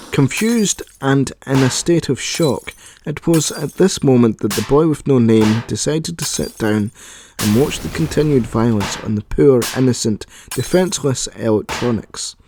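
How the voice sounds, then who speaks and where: A man reading scripted narration for an audio story. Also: medium pitch.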